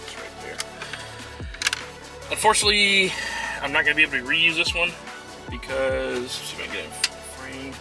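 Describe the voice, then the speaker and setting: A man's voice: mid-range voice, brisk, vocal fry, pauses often, music in the background. A man talking informally as he works through a hands-on installation, chatting to viewers in a casual how-to vlog style and thinking aloud. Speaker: vlogger and trucker showing a DIY install.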